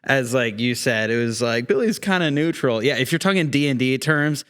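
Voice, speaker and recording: A man speaking as a guest actor in a casual interview, halting and joking as he goes. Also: medium pitch, lively intonation, a bit bright, clean tone.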